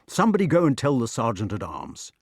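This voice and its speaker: A man's voice: mid-range voice, big pitch swings, quick pace, breathy edge, no room sound, clean studio sound. A man voicing a practical middle-aged lord in a storybook, with a soothing but commanding manner.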